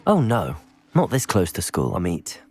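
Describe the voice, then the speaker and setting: An actor playing a calm, polite and confident teenage student wizard in a video game, courteous and reassuring. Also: male voice, sits low, moderate pace, exaggerated voice. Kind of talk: video game character acting.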